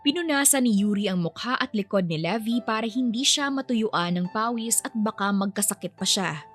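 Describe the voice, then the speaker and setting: A woman's voice: mid-range voice, animated, moderate pace, fairly bright tone, faint music behind. A woman with a Filipino accent reading scripted dramatic narration of a romance story.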